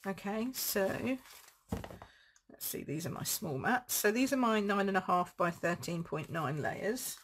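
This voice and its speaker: A woman with a croaky throat, chatting casually as she demonstrates card making at home. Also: mid-range voice, animated, unhurried, fairly bright tone. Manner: chatty, rambling, thinks aloud while crafting. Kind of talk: casual live craft demo.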